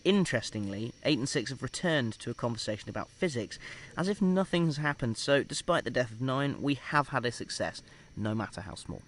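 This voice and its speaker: An actor playing a measured research scientist who dictates an experiment log in a formal, clinical manner, with hesitant pauses, in an audio drama. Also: male voice, mid-range voice, expressive, natural voice.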